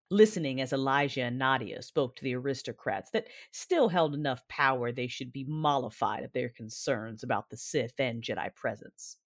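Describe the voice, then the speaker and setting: Cool, calculating dark-side woman; lively inflection, dry studio sound, first-person narration. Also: a bass voice, no echo. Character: cool, shrewd Sith-like woman strategist narrating.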